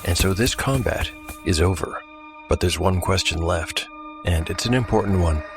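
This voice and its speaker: A man's voice: deep voice, normal speed, over music. A man narrating a tabletop role-playing game as a dramatic story, calling out the dice rolls in a theatrical way. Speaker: solo game narrator and player.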